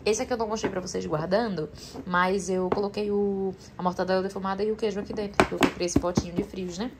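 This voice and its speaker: A woman's voice: mid-range voice, steady tone, quick pace, heavy background noise. Chatty young woman vlogging in her kitchen. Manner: chatty, addresses viewers often. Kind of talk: casual kitchen vlog chat. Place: home kitchen, indoors.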